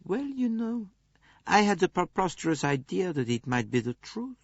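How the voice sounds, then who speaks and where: Man acting a courteous, probing detective, lightly high-pitched. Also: big pitch swings, moderate pace, vocal fry, dry recording, highs cut off, full character voice. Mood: gently doubting. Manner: soft, courteous, insinuating. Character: polite, probing male detective, quietly doubting and self-assured.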